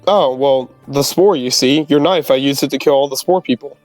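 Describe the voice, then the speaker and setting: A man's voice: medium pitch, moderate pace, a little mellow, soft background music. A man playing in a tabletop roleplaying game, chatting casually and questioning the rules as he haggles.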